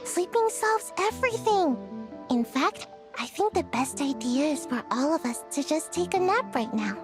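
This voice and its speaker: High-voiced, dry little know-it-all girl. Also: animated, normal speed, over music. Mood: self-important. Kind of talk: video game voice acting.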